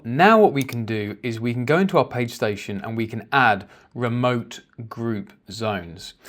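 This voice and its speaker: A man working as a software trainer, explaining each step informally in a conversational screen-share tutorial. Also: mid-range voice.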